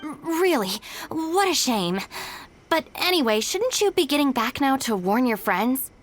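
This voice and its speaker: A woman playing a practical, no-nonsense young shrine maiden in a video game, speaking in a brisk, matter-of-fact way. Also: high-pitched, very animated, soft background music. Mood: surprised, composed.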